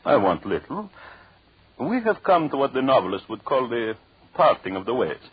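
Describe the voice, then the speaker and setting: An actor playing a vengeful, coldly sinister husband with formal, mock-polite speech in an old-time radio drama. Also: male voice, mid-range voice, sing-song, moderate pace, husky. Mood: mock courteous. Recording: muffled, narrowband old radio broadcast.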